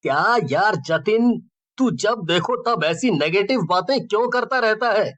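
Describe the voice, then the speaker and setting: A man's voice: sits high, expressive, a little slow, a bit bright. A man acting a greedy, cocky young village schemer in a cartoon story, with a boastful way of talking. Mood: impatient.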